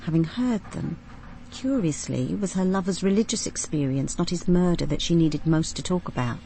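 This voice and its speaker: A woman's voice: fairly low pitch, moderate pace, dark tone, slight background noise, natural voice. An actress playing an observant female detective, narrating a radio drama in a composed, precise way. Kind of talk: scripted first-person drama narration.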